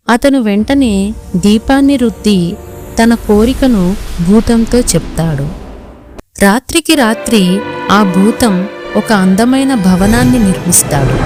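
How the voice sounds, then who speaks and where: A woman's voice: fairly high pitch, a little mellow. A woman reading scripted children's story narration as the storyteller.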